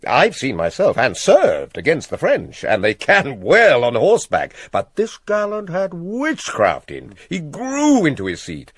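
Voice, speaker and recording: An actor playing a smooth, scheming king in classical Shakespearean style, with a British accent and measured, theatrical delivery full of dramatic pauses. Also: on the high side, wildly swooping pitch, no room sound, heavily acted. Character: scheming king, smooth, persuasive, plotting murder.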